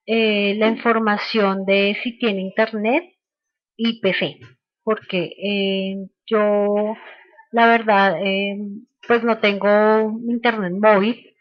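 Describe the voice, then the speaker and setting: A woman giving an informal sales training webinar, explaining haltingly and checking in with short questions. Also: medium pitch, dry recording. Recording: dull, lo-fi online call audio.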